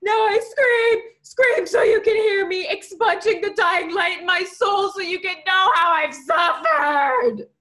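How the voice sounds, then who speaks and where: A woman voicing a melodramatic, righteous young vegan protester who yells and wails theatrically in a story. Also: very high voice, a little slow, hardly any pauses, pitched above the performer's own voice, full character voice. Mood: anguished wailing. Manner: theatrical wailing and yelling.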